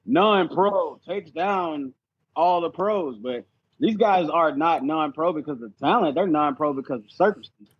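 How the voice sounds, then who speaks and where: A man's voice: a little high, measured pace, breathy edge, dry recording. A man chatting casually on a sports podcast, emphatic and prone to repeating phrases to make his point. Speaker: podcast guest, competitive cornhole player. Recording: dull, band-limited sound.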